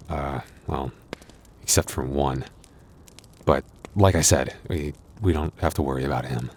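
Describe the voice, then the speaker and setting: A man playing a wounded, tender and protective werewolf boyfriend in an intimate ASMR roleplay, speaking in a halting, softly earnest, weary way. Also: extremely low pitch, breathy edge, heavily acted. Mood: tense, recounting. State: weary, hurting.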